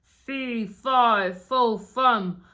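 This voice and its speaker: Woman playing a booming, menacing storybook giant. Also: extremely slow, pushed and bright, exaggerated voice. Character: enormous menacing man-eating male giant.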